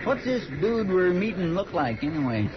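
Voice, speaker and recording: An actor playing a folksy, easily amused western sidekick with a drawling manner in a 1950s radio drama. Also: male voice, high-pitched, sing-song, normal speed, a crowd in the background. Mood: amused, curious. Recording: dull 1950s radio broadcast.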